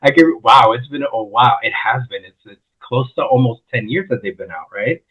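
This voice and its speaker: Male podcast host, friendly and eager, mid pitch, slightly muffled sound. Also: lively intonation, normal speed. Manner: enthusiastic, friendly. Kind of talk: casual interview. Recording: slightly dull lo-fi audio.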